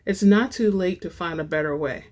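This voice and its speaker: A woman's voice: lowish voice, normal speed, a little mellow, lightly acted. A woman voicing a nervous, guilt-ridden man in a dramatic story reading, murmuring and hesitant. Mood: murmured guilt.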